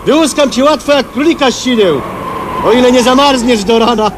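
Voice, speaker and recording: Radio drama villain: gleeful madman killer, extremely high-pitched, taunting, heavy noise behind. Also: fairly quick. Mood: gloating, mock-friendly. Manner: taunting, mock-friendly, chuckling menace. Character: deranged, gleeful male killer hunting his prey in snow. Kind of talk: radio play acting. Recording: very noisy old radio drama recording.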